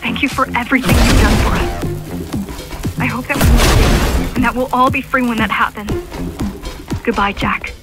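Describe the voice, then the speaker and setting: An actress playing an earnest, brave young resistance fighter in a video game, speaking sincerely and conversationally. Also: female voice, a little high, steady tone, moderate pace, pauses often, natural voice. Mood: resolute, wistful. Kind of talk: dramatic video game acting. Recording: loud electronic music behind.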